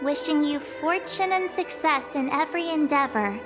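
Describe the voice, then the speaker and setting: A woman's voice: sits high, lively intonation, normal speed, music in the background. A woman voicing a curious, helpful young girl adventurer in a video game, musing aloud inquisitively. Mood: curious, gentle.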